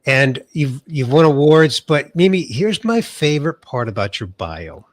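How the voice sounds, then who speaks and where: A man's voice: mid-range voice, sing-song, unhurried, no room sound. A middle-aged man from the USA hosting an interview podcast, sounding nasal from a cold and introducing his guest with enthusiasm. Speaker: podcast host.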